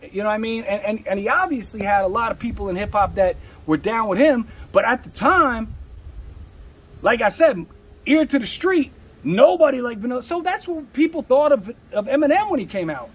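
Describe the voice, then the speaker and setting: High-pitched husky man, slangy and streetwise. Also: expressive, fairly quick, low-quality recording. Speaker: rapper. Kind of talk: candid interview talk.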